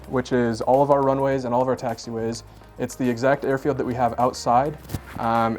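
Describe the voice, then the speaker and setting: A man's voice: medium pitch, darkish tone. A young American man giving an informal, explanatory tour as an air traffic controller, speaking in a plain, conversational way.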